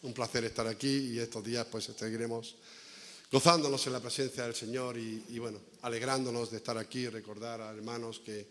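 A man's voice: mid-range voice, flat delivery, a little fast, a bit bright. A man giving a conversational evangelical sermon as a visiting preacher, repeating phrases for emphasis and asking rhetorical questions. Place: inside a church. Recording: echoey church hall reverb.

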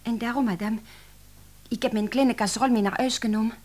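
A woman's voice: fairly high pitch, steady tone, fast, a little mellow, natural voice. A woman acting an effusive young French cook in a Dutch radio play, with a French accent and French phrases sprinkled through her talk. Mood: distraught. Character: excitable young Frenchwoman, devoted office cook and assistant.

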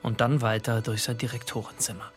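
An actor playing the observant, measured narrator of a literary radio drama. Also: male voice, medium pitch, flat delivery, faint music behind, lightly acted.

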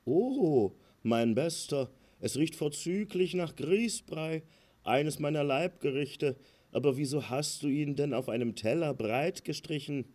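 A man's voice: on the high side, a little slow, darkish tone, pitched above the performer's own voice, natural voice. A man voicing a genteel crane in a fable, with a polite, mannered, slightly plaintive delivery. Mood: delighted, puzzled. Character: genteel male crane, courteous, slightly plaintive dinner guest. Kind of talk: acted fable storytelling.

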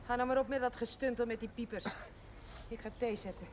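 A woman playing a bossy, plain-spoken housekeeper with a somewhat high voice. She is blunt and homely, on a faintly noisy old television soundtrack. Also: fairly even intonation, a little fast, close to an everyday voice. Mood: grumbling, concerned. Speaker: actress in a television drama.

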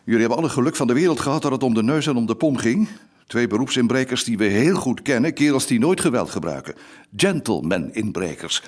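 A man's voice: medium pitch, animated, fast talker, lightly acted. An actor playing a stern but fond middle-aged father with an authoritative manner in a radio drama. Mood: angry scolding. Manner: scolding, authoritative.

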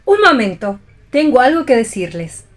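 A woman's voice: fairly high pitch, very animated, moderate pace. A woman acting a proud, possessive rival back from death in a play reading for radio, assertive and declamatory.

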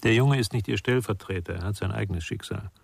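An actor playing a calm, serious confidant in a radio crime drama, speaking in a measured, questioning way with a husky, gravelly voice. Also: male voice, on the low side. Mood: grave, probing.

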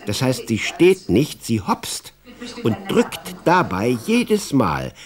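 A man narrating a children's radio drama as an expressive, wry storyteller. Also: medium pitch, takes it slowly.

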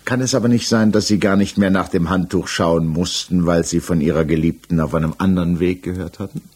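Examining magistrate, low slightly husky voice, formal, probing, sceptical, 1960s radio crime drama. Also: male voice, fairly even intonation, fairly quick, a little mellow. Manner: formal, probing, pointed questioning. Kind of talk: 1960s German radio play acting, interrogation dialogue.

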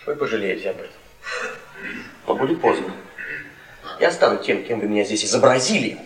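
A man's voice: on the low side, lively intonation, brisk, close to an everyday voice. An actor playing a humiliated, vengeful young man in a radio play, vehement and repetitive in his outrage. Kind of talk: theatrical radio play acting. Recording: echoey room, distant from mic.

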